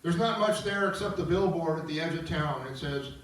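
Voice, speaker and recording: A man reading stage directions as narrator at an opera table read, with a theatrical, deliberate storytelling delivery. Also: a little high, far from the mic. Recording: roomy echoing recording from afar, guitar music.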